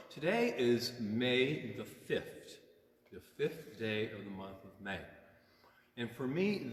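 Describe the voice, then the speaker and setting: A man in his sixties, a priest, talking informally and simply to children at storytime, gently explaining and checking in with questions. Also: on the low side. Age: 60s. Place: inside a church. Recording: echoey, long reverb of a church.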